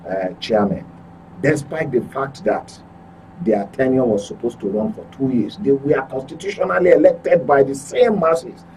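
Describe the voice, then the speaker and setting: A man's voice: medium pitch, dark tone, far from the mic, slight background noise, poor audio. A man with a Nigerian accent giving political commentary, often repeating and correcting his words as he goes. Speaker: current affairs commentator.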